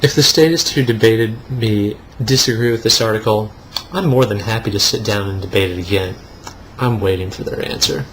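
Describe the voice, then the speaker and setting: A man's voice: on the low side, moderate pace, fairly bright tone, poor audio. Sarcastic man with faint noise behind. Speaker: co-host. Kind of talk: mock-dramatic reading with casual banter.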